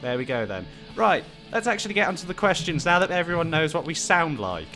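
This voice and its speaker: A man's voice: a little high, sing-song, a little fast, pushed and bright, faint music behind. A man hosting a casual Q&A with friends, reading out viewer questions in a dry, teasing way.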